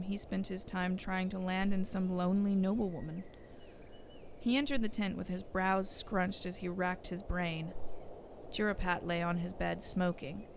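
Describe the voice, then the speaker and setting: A woman reading scripted storybook narration for an audio drama, on a noisy recording. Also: medium pitch.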